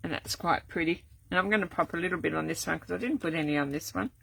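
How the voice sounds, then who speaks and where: Older woman, rambling live craft chat, gravelly croaky voice, bright tone, dry close sound. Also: fairly low pitch, quick pace, no echo. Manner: rambling, many ums and restarts. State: voice going croaky. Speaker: card-making crafter. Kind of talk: casual live craft demo. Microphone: camera set up at desk.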